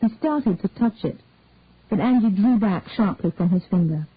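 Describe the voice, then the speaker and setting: Brief: woman reading a mystery aloud, steady delivery, thin phone-like dry sound. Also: medium pitch, normal speed, breathy edge, no echo. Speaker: narrator. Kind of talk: scripted narration of a detective story.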